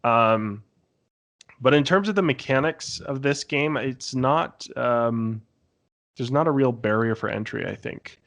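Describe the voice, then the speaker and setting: A man on a podcast panel chatting casually, explaining his view with frequent pauses. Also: medium pitch, vocal fry, fairly bright tone. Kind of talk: casual chat about gaming.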